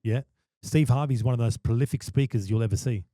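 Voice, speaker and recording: A man talks about his work in an emphatic, passionate way, stumbling over repeated words before each point lands. His voice is mellow and dark, and it is recorded close and dry with almost no room sound. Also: medium pitch, quick pace, no echo. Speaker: podcast guest, wedding MC. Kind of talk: casual podcast chat.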